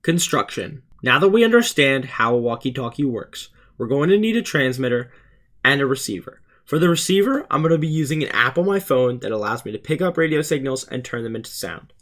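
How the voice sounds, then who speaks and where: A man's voice: medium pitch, lively intonation, a little fast, fairly bright tone. A teenage male student narrating a scripted explainer for his video channel, earnest and plainly presented. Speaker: student YouTuber presenting a school project.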